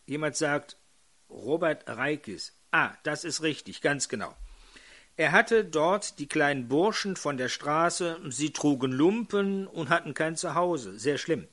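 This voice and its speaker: An older man preaching a sermon in a hoarse voice, putting questions to his congregation. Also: a little high, expressive, slow pace, fairly bright tone.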